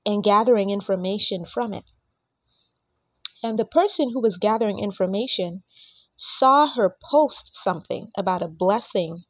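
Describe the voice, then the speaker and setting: A woman who is under the weather and a bit raspy, giving an earnest, casual prophetic message and speaking directly to her listeners. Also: medium pitch, dry recording, dull audio. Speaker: prophetic minister.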